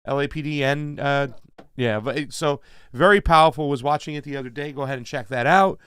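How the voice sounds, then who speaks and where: A man hosting a live talk stream, loud and emphatic, with lots of fillers and restarts. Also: mid-range voice, brisk, clear, resonant tone, dry recording. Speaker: show host. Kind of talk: casual live-stream chat.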